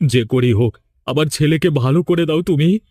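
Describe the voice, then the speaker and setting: A man's voice: fairly high pitch, moderate pace, warm tone, no room sound, clean studio sound, natural voice. A man playing a sombre, middle-aged villager in a horror cartoon, earnest and subdued. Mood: worried.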